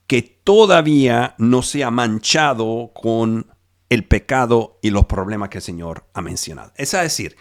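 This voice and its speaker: A male pastor preaching a sermon over a video call, in a slow, emphatic way with frequent restarts; Spanish is not his first language. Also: on the high side.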